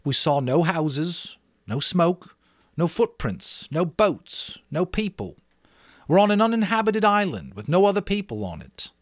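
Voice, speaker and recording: A man's voice: a little high, very animated, a little slow, no echo, clean studio sound, pitched above the performer's own voice. A man reading a novel aloud, playing an earnest boy who leads a meeting with emphatic, declarative speech. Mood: earnest, assertive. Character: earnest fair-haired boy chairing a meeting as leader. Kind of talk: amateur dramatic reading of a novel.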